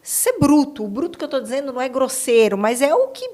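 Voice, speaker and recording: A woman's voice: high-pitched, very animated, fairly quick, mellow. A Brazilian woman hosting a conversational podcast interview, speaking emphatically and colloquially and often checking for agreement. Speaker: podcast host.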